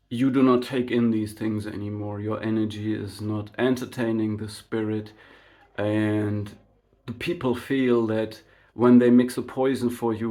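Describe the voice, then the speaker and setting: A man whose first language is German, giving an unscripted spiritual monologue to his channel in a slow, reflective way, full of fillers and tag questions like "right?". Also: lowish voice, warm tone. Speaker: spiritual vlogger.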